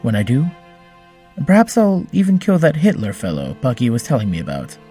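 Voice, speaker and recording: Audio drama android hero played by a man: earnest, formal, soft dark tone, faint music beneath. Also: mid-range voice, wildly swooping pitch, a little fast. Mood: earnest, tender. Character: earnest, formal, gentle male android superhero.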